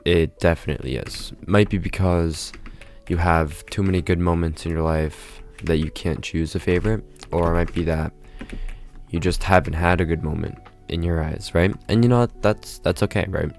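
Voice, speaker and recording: Congested male vlogger, very low voice, rambling casual monologue full of "right?" tags, faint music under him. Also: steady tone, normal speed, a little mellow. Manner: rambling, tags nearly every phrase with "right?".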